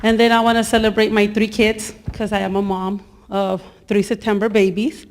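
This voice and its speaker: A woman in a church congregation with a loud voice, chatting about her children. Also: mid-range voice, little pitch movement, normal speed, slight background noise. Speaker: congregation member. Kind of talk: sharing at a church service.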